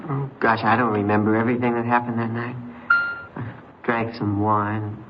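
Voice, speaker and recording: A man's voice: medium pitch, level tone, normal speed, background noise, lightly acted. An actor playing a naive, boastful American runaway teenage boy who poses as a soldier, hesitant and defensive, in an old-time radio crime drama. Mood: confiding, subdued. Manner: hesitant, defensive, eager to seem grown-up. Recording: dull vintage radio recording, music behind.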